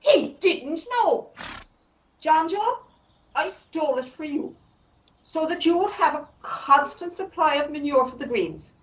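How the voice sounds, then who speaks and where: A woman playing a very high, earnest confessor. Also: very animated, a little slow. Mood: earnest confession. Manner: pleading, earnest. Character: earnest, devoted, self-sacrificing woman confessing a crime. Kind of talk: radio play acting. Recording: muffled, distant amateur recording.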